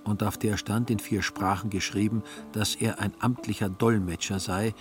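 A man's voice: fairly low pitch, little pitch movement, normal speed. A man delivering scripted literary narration as a narrator in a radio drama. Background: faint music.

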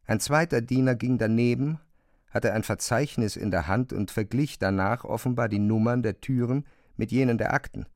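A man narrating a literary radio drama in a measured, precise way, as a composed observer. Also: medium pitch, darkish tone, no echo, studio quality, lightly acted. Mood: measured, observant. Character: male narrator of an absurd bureaucratic tale, observant and composed.

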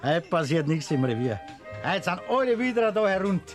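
A man's voice: high-pitched, very animated, moderate pace, faint music behind. An actor playing a smug, teasing huntsman who sneers jovially, in a classic radio play. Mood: sneering.

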